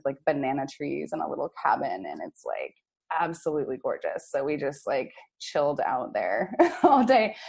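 Female call facilitator, lowish register with marked vocal fry, casual and openly encouraging. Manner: affirming, effusive, informal with frequent "like" and "awesome". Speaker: facilitator and host of a group call. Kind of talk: casual group check-in chat.

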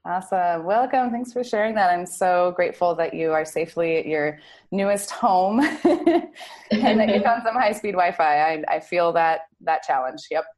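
A woman's voice: on the low side, animated, a little slow, darkish tone. A woman hosting a group call in casual chat, affirming and effusive with informal wording. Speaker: facilitator and host of a group call.

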